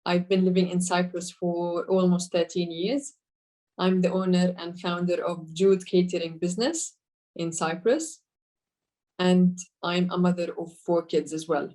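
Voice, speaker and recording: A woman's voice: on the low side, level tone, unhurried, warm tone. Woman with a Jordanian Arabic accent. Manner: hesitant, many fillers and restarts. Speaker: business owner and founder. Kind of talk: personal account to camera.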